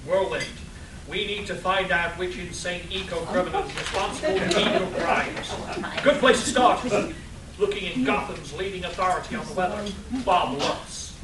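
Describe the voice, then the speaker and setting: A man's voice: high-pitched, moderate pace, crowd noise, lightly acted. A man playing a pompous, over-earnest eco-crusading superhero in a comic radio play, declaiming his lines. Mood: urgent, heroic. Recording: echoey hall, distant mic, audience noise.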